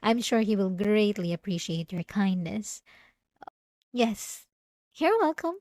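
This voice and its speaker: A woman's voice: medium pitch, very animated, slow, a little mellow, dry recording, studio quality. A woman acting a sweet, pious young church girl who is secretly obsessive, in an ASMR roleplay with a demure, hesitant, over-polite manner. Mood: gentle, gracious. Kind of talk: ASMR roleplay acting, addressing the listener directly.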